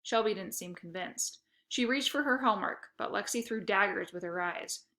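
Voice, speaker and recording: A woman reading a children's chapter book aloud in a lively storytelling manner. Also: mid-range voice, moderate pace. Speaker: reader.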